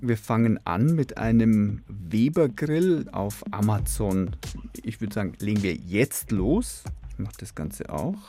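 A man co-hosting a radio magazine, explaining calmly in a studio. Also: on the low side, unhurried, music in the background. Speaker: co-host.

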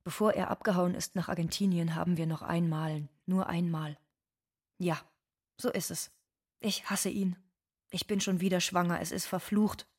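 An actress playing an anxious, bitter undocumented migrant woman in a scripted dramatic monologue, with a husky voice. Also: on the low side, fairly even intonation, moderate pace, a little mellow. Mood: bitter, resigned.

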